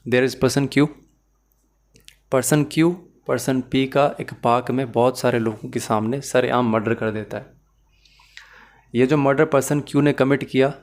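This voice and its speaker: A man's voice: medium pitch, darkish tone. A man with a Pakistani accent lecturing as a law teacher, mixing English into his speech and explaining points step by step in a didactic way. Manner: code-switches between Urdu-Hindi and English.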